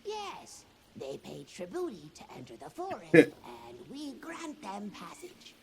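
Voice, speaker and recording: A woman's voice: medium pitch, wildly swooping pitch, slow pace, gravelly, full character voice. A woman voicing a small, proud forest creature in a video game, a quaint trader with pompous, broken, theatrical speech. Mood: self-important. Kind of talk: video game character dialogue. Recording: game audio with loud background ambience.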